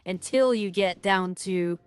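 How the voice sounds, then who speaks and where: A woman talking through her game as a streamer, rambling and filling her talk with "like" and restarts, with a loud voice. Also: medium pitch, slow pace, clear, resonant tone. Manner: rambling, lots of fillers and restarts. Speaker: gaming streamer. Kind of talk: casual game commentary.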